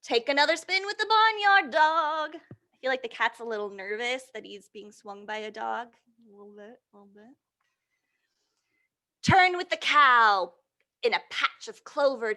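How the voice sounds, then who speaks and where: A woman hosting a storytime for young children, reading and leading action rhymes in a playful, encouraging, animated way. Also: high voice. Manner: playful, encouraging, checks in with listeners.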